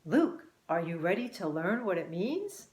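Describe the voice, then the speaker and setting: A woman voicing a kind, wise butterfly in a children's storybook, gentle, encouraging and instructive. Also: fairly low pitch, sing-song, a little slow. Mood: reassuring. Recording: roomy, echoing room sound.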